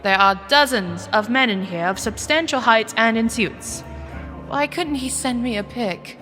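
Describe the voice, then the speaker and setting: A woman's voice: fairly high pitch, a little slow, bright, forceful tone, faint music behind. Woman acting a wry, flustered young woman. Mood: wry, brooding. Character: anxious, sardonic young woman, broke and flustered, defiant underneath. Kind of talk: first-person dramatic narration and dialogue.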